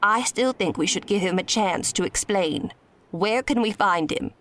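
An actress playing a nosy, kindly older woman who worries aloud in quick strings of questions. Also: mid-range voice, a bit bright, slight background noise, natural voice. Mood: worried. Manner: fretful rapid questions. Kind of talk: audio drama acting.

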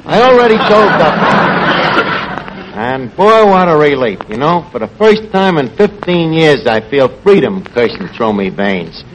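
A man's voice: on the high side, big pitch swings, unhurried, noisy background. An actor playing a blustering, self-important male tavern manager in a 1940s radio comedy, pompous and wisecracking with mangled grammar. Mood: grumbling.

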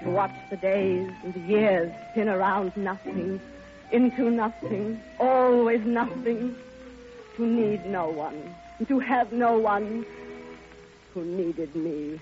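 A woman's voice: medium pitch, fairly even intonation, natural voice. An actress playing a lonely, grieving, devoted woman in a theatrical, impassioned old-time radio monologue. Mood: mournful. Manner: theatrical, hushed, impassioned, drawn-out phrasing. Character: grieving, lonely, devoted woman recalling her beloved friend. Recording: dull old radio recording, loud music.

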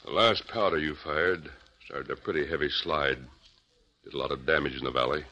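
A man plays a steady masked Western hero in a vintage radio drama. His voice is very deep yet bright, calm and commanding. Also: moderate pace, dull audio, exaggerated voice. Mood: firm. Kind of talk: 1940s radio acting.

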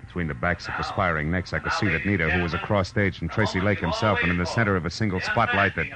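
A man's voice: deep voice, steady tone, fast talker, lightly acted. A man playing a wry, hard-boiled private detective in a 1940s radio noir drama, with clipped tough-guy delivery. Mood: tense, brisk. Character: hard-boiled male private detective, wry and sardonic, narrating his case. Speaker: lead actor and narrator. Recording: dull vintage radio recording with music.

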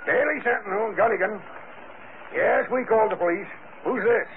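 Barking, high-voiced newspaper editor played by an actor. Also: male voice, moderate pace, close to an everyday voice. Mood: harried. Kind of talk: old-time radio drama acting. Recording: narrow, noisy old radio recording.

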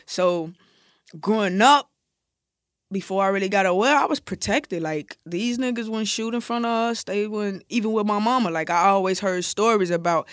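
A man's voice: high voice, moderate pace, a bit bright, clear, resonant tone, no echo, studio quality. A man with a Chicago accent, a guest in a casual interview, telling stories in loose, candid slang with plenty of swearing. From Chicago, United States. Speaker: interview guest, music artist. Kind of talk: casual storytelling chat.

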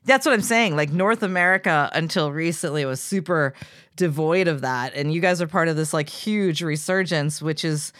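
A woman hosting a podcast in casual chat, with a low voice and an enthusiastic, chatty way of asking questions. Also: moderate pace, a bit bright, no room sound, clean studio sound. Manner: enthusiastic, chatty, many fillers. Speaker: podcast host.

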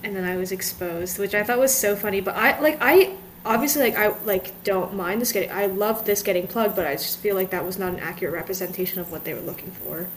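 A woman's voice: mid-range voice, fast. Chatty young woman podcast co-host. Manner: chatty, trailing off, many fillers. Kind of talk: casual chat.

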